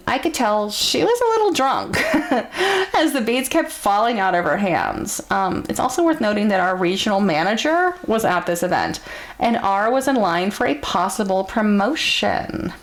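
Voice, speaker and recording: A woman reading a story aloud in a casual, conversational way, theatrical and amused, with pauses and murmured asides. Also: medium pitch, gravelly.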